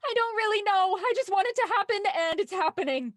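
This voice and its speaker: Anxious young writer, high voice, earnest, hesitant, dry close recording. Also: female voice, expressive, fast talker, a bit bright, no room sound. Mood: defiant, hurt. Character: anxious twenty-four-year-old woman writer finding her nerve. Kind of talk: naturalistic audio drama acting.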